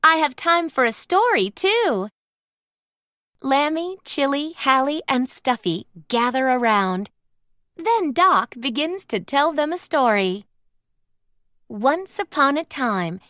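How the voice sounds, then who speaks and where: A woman narrates a children's storybook with careful expression. Her voice is high and moves up and down in lively swings. She takes the words slowly, in a dry, close recording. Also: no echo. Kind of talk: scripted read-aloud narration for young children.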